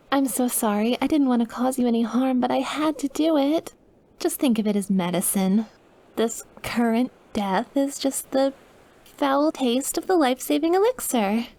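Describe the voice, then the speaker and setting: A woman's voice: on the high side, a little mellow. A woman playing an obsessive, lovesick, dying young witch in an intimate ASMR roleplay, with a clingy, pleading manner.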